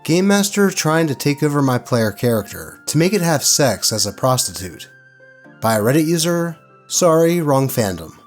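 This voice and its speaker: A man narrating online horror stories as host, reading scripted posts aloud in an expressive, dramatic way. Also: a little high, moderate pace, soft background music.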